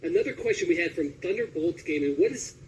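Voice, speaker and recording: A man's voice: on the high side, animated, fast talker. A man casually putting questions to a guest as an interviewer. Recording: faint background noise.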